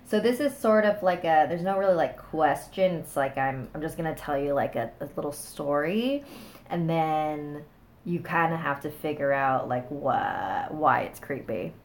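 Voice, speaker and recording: A woman's voice: low-pitched, animated, moderate pace. A woman hosting a casual livestream, chatting with viewers and reading scary stories, a bit stuffy-nosed, with a chatty, giggly manner. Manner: chatty, thanks viewers, laughs, trails off. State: stuffy nose. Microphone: phone on a small stand.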